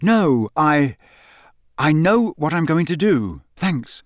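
A male narrator acts out a teenage boy from a storybook. He sounds uneasy and reluctant, speaking in halting, hesitant bits. The recording is dry. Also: a little high, very animated, dry recording, pitched above the performer's own voice. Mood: nervous, evasive. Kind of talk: acted audiobook dialogue.

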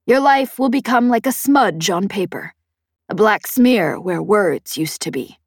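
A woman's voice: mid-range voice, animated, measured pace, husky, no echo, clean studio sound. A woman voicing a tough, commanding pirate boss in an audiobook, pressing and persuasive as she taunts. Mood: ominous warning. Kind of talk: audiobook character dialogue.

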